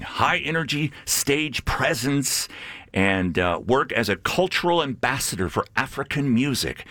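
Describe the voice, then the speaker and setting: A man hosting a casual live radio interview, halting as he puts short questions and reactions. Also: mid-range voice, a bit bright, no echo. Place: radio studio.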